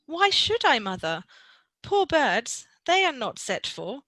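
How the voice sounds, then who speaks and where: A woman plays a quick-witted young son in a radio drama. The voice is bright, dry and animated, full of cheek. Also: sits high, no room sound, heavily acted. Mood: cheeky, defiant. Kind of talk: amateur Shakespearean radio play.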